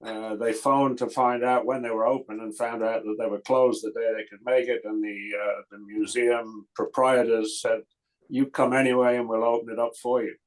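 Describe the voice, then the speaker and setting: A man on a club call relating a short story, his pitch staying flat and level. He speaks plainly, with a few fillers. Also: normal speed, low-quality recording. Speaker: club member. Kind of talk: casual anecdote on a call.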